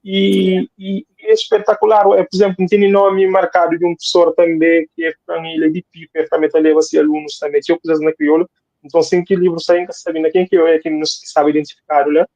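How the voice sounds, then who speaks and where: A man's voice: fairly high pitch, level tone, quick pace, darkish tone, no room sound, dull audio. A man from Cape Verde, talking casually as a guest author, rambling and repeating phrases for emphasis. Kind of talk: casual interview talk.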